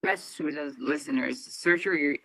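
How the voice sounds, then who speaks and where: A young woman in her twenties moderates the interview with a bright, forward voice. The recording is close and dry, with no room around her. Her questions come out earnest and a little halting. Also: low-pitched, gravelly, dry recording, low-quality recording. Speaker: podcast moderator, medical student. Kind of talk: scripted intro and interview questions.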